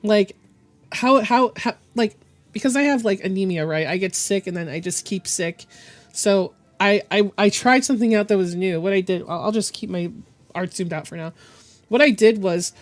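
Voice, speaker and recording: Hoarse sick woman with a rough voice. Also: medium pitch, soft background music. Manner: halting, rambling, with frequent throat clearing. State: hoarse and deepened from bronchitis. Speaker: digital art streamer. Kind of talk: casual live-stream chat.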